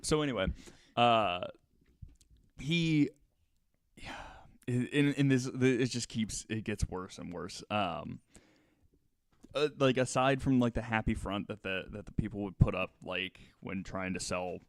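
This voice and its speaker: A man hosting a podcast, telling a story casually and haltingly, with conversational asides. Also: fairly low pitch, creaky, dry recording, studio quality.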